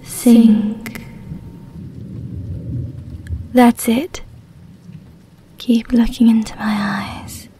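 A woman acting as a smug, seductive female vampire in an ASMR roleplay, very slow and coaxing. Her voice is soft, breathy and darkly mellow, often sinking to a whisper. Faint rain and thunder sit behind her. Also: fairly high pitch, little pitch movement, slight background noise. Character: seductive, commanding female vampire, smugly hypnotic.

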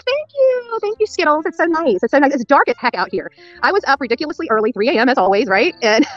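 A woman's voice: sits high, pushed and bright, dull audio. A middle-aged woman chatting casually to livestream viewers, scattered and repeating her words as she goes. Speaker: livestream host covering a court case. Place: outdoors outside a courthouse at first, later a hotel room.

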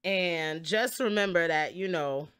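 A young woman telling a story casually on a livestream, expressive, slangy and sweary. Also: on the low side, a little slow, clean tone. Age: young adult. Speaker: game streamer.

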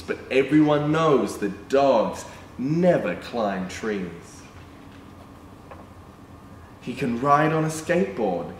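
A young man reading a picture book aloud to children as a storytime presenter, in an animated, exclaiming manner. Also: a little high. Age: young adult. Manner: animated, exclaiming, with excited gasps and asides. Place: indoor room with painted backdrop. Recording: roomy, distant mic, background noise.

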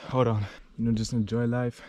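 A young man with a German accent rambles loosely to a camera held at arm's length, winded from a steep trail. His voice sits at an ordinary pitch, and steady outdoor noise runs clearly under the recording. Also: fairly quick. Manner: laid-back, rambling, lots of "like" and swearing. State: out of breath from climbing steps. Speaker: travel vlogger. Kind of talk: casual vlog talk while hiking. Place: outdoors on a rocky mountain trail. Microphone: handheld camera at arm's length.

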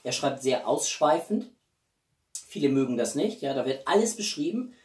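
A man in his forties chatting casually as a video blogger, with halting speech and enthusiastic asides. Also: mid-range voice, big pitch swings, a little mellow. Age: 40s. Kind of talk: casual chatty vlog presenting gifts. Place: small living room, indoors. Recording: roomy echo, distant microphone.

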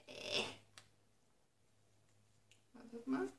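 A young woman chatting excitedly on her living room sofa as she unboxes a gift parcel, reading little notes aloud. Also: very slow. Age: young adult. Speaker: beauty vlogger. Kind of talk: casual unboxing chat. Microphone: camera mic from a few feet away. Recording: roomy, distant camera sound with faint noise.

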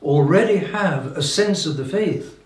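An elderly man, a bishop preaching an informal sermon in a halting way, repeating and restarting his phrases with emphatic pauses. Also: a little high, animated. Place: indoor room with religious paintings. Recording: roomy echo, distant microphone.

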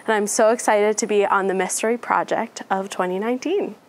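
A woman from Chicago introducing herself as senior project supervisor, excited and friendly. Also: mid-range voice, fairly quick. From Chicago, Illinois.

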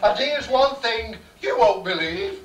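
A man playing an old has-been comic, reciting rhyming gags slowly on a small stage. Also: extremely high pitch, animated. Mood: chirpy, rueful. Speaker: amateur pantomime performer. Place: small theatre bar stage, indoors. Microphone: far camera. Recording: distant echoey noisy bar with audience.

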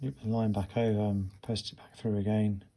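A man's voice: fairly low pitch, flat delivery, fairly quick, warm tone. A man, talking casually and instructively as a fishing vlogger. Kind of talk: casual instructional vlog talk. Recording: clear background ambient noise.

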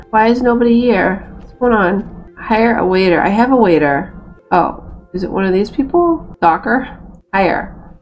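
A young woman with a Boston accent, chatting casually and getting exasperated as she plays a store-management game on stream. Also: on the high side. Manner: chatty, exasperated, talks to herself while playing. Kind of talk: casual gameplay commentary. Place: small room indoors. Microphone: headset.

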